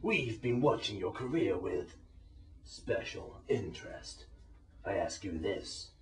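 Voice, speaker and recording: A man playing a mysterious, sinister agent with portentous pauses in a comic radio play. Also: on the low side, huge pitch swings, heavily acted. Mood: sinister, secretive. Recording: echoey room, distant microphone.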